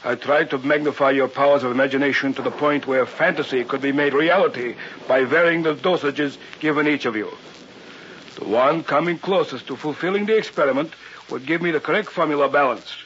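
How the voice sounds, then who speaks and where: An actor playing a secretive, authoritative doctor in an old-time radio drama, on a muffled, hissy recording. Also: male voice, a little high, brisk, fairly bright tone, lightly acted. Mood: grave. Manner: formal, authoritative, theatrical. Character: secretive doctor, commanding host of an island weekend.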